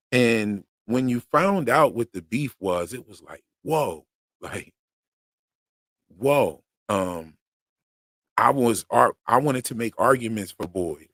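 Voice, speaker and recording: A man hosting a live stream, chatting casually with slang and long rambles, his voice going hoarse. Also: on the low side, fairly bright tone, no echo, studio quality. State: losing his voice. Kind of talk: casual fan commentary.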